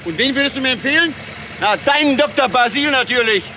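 A jealous boyfriend in a radio drama. He is high-pitched, fast and sarcastic, over clear engine noise. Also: expressive, sharp tone, full character voice. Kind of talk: German crime radio drama acting. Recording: noisy car engine ambience.

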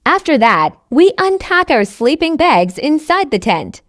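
High-pitched woman narrating a simple lesson. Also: very animated, a little slow, fairly bright tone, dry recording, clean studio sound. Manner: carefully enunciated, repeats sentences identically. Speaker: narrator of English learning stories. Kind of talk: scripted slow narration for language learners.

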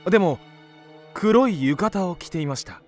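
An actor playing a young student giving testimony as a witness in a radio drama. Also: male voice, sits high, expressive, moderate pace, soft background music. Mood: earnest.

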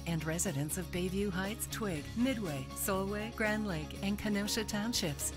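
A woman reading a scripted promotional narration. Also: lowish voice. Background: music.

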